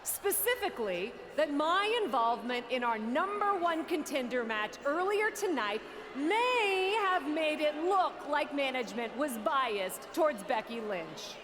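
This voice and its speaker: A woman playing an authoritative, smug wrestling general manager in a video game, speaking in a formal, measured, theatrical announcing style over background noise. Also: high voice, sing-song. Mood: formal, assured. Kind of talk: scripted promo speech to an arena.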